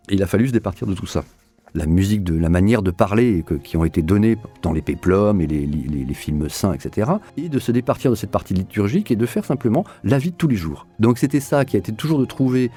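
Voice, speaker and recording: Low, mellow man, reflective interviewee. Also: husky. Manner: explanatory, rephrases and repeats for emphasis. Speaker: audio drama director being interviewed.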